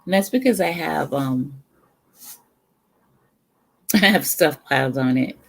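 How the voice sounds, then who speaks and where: A middle-aged woman from the Bronx talks in a low, husky voice with a New York accent. She chats with her livestream viewers at an unhurried pace, rambling and drifting between topics. She is on a webcam at home in front of a brick wall. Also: lively intonation. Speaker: livestream host. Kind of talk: casual livestream chat.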